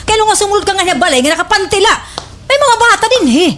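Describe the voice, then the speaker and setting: A woman's voice: extremely high pitch, animated, fast, a bit bright. A woman playing a scandalized, scolding village woman in a slapstick radio drama. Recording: noisy recording with clicks.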